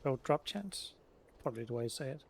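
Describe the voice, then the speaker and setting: A man's voice: mid-range voice, animated, brisk, slight background noise. A man giving casual, offhand let's play commentary, half muttering to himself as he streams. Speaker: game streamer.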